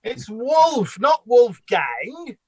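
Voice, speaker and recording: A man's voice: very high voice, big pitch swings, drawn-out words, darkish tone, breathy edge, dry recording, heavily acted. A man acting a genial, slightly hapless village customer in a comedy radio play, chatty and mild-mannered.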